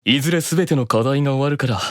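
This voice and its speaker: A man voicing a composed, commanding demon from an anime game, slow and deliberate, stern yet seductive. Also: mid-range voice, no room sound, clean studio sound, lightly acted. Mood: stern encouragement.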